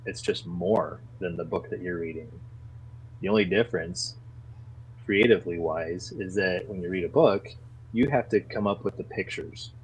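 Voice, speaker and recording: Low-voiced man, earnest and animated. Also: moderate pace, slight background noise, poor audio. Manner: earnest, animated when defending his views. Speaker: podcast guest. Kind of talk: casual conversation.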